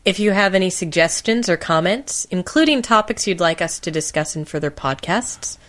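A woman with a voice a little on the low side chats casually as a podcast co-host. Her delivery is informal, with a playful, teasing edge. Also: expressive, normal speed, a bit bright. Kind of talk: casual co-hosted chat.

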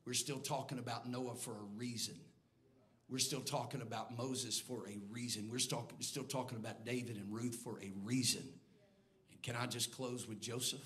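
A man preaching a sermon in a church, swinging between low, hushed lines and loud, near-sung calls to the congregation. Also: fairly even intonation, moderate pace. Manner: builds from quiet, low delivery to loud, near-sung shouting. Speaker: bishop preaching. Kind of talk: Pentecostal sermon. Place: church sanctuary before a congregation.

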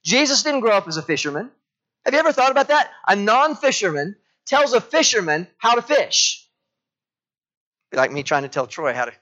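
A male pastor preaching in an easy, storytelling way, his voice sitting a little high for a man. He keeps asking his listeners rhetorical questions. The recording sounds dull and lo-fi. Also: big pitch swings, brisk, bright, forceful tone. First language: English. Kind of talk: sermon.

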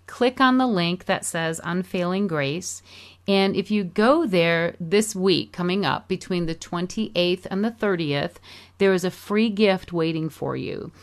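A middle-aged woman talks about her book on a radio interview, earnest and heartfelt. Her voice sits somewhat low for a woman, and she restarts a phrase now and then. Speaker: author and musician promoting her book. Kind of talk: casual radio interview chat.

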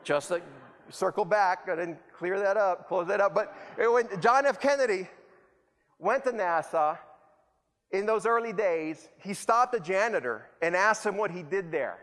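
A man's voice: high voice, animated, a little slow, a bit bright. A man from El Salvador, preaching a sermon with rhetorical repetition and self-deprecating humor. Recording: long hall reverb.

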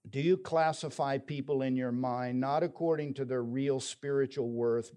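A man's voice: medium pitch, dark tone. A man preaching a sermon, telling a story and quoting scripture with emphasis. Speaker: pastor.

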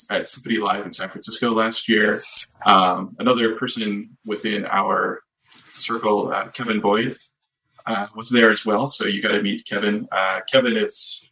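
A man's voice: on the low side, sharp tone, rough recording. A man co-hosting a podcast in casual chat, rambling with many fillers and restarts. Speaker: podcast co-host.

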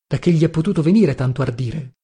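A troubled, exasperated man from a literary novel, played by a male reader. He speaks in a fairly high voice, insistent and dramatic. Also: expressive, fast talker, mellow, breathy edge. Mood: stunned. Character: troubled, exasperated male protagonist urging a young woman.

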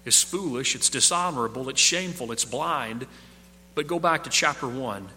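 A man preaching an expository sermon, emphatic, dropping low on key points. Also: animated, moderate pace, bright, forceful tone, long reverb tail, a little hiss or hum.

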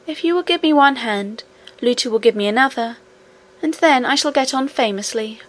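A woman reader acts out a little storybook princess with a high, childlike voice. She is innocent and affectionate, speaks with precise politeness and can be earnestly stubborn. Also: moderate pace, lightly acted. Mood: polite, confident. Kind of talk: storybook character reading.

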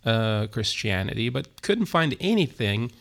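A middle-aged man with a Turkish accent, a church planter telling his story as an interview guest, speaking haltingly with many fillers and restarts. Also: medium pitch, very animated, gravelly, fairly bright tone. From Turkey.

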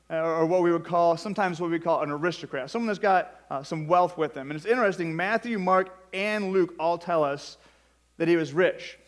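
A young man preaching an evening sermon as a fill-in pastor, in a conversational way with frequent fillers and joking asides. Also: a little high. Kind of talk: sermon with storytelling.

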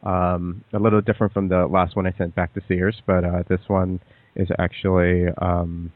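Podcast host guy, teasing and giggly, lots of ums, lowish voice, sounds like a phone call. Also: little pitch movement, normal speed, no room sound. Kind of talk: casual interview chat. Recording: dry, tinny phone-like line.

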